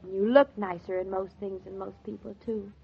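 A woman playing an excitable, playful young newlywed wife in a 1940s radio drama, talkative and given to fretful exclaiming. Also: medium pitch, a little fast, creaky, husky. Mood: affectionate, delighted. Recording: dull old radio recording.

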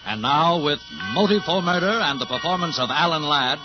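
A man's voice: on the high side, brisk. Male announcer, formal dramatic introduction, vintage radio, muffled sound, music underneath. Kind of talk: dramatic scripted programme introduction.

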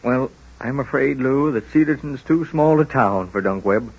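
An actor playing a wise, genial, wry small-town doctor with a folksy manner in an old-time radio drama. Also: male voice, medium pitch, moderate pace, darkish tone, husky, highs cut off. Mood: wry, doubtful. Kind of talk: 1940s radio acting.

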